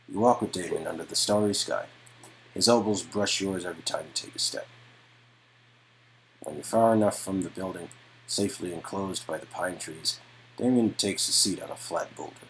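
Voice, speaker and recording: A man's voice: low voice, normal speed, fairly bright tone, slight background noise, poor audio. A man reading scripted second-person narration for an interactive story, as the narrator. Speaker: narrator performing game dialogue.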